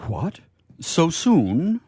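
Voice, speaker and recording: A man playing a courteous, dignified guardian angel in a live radio comedy, speaking in a formal, measured way. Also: on the high side, huge pitch swings, warm tone, slightly rough, no echo, pitched above the performer's own voice, exaggerated voice.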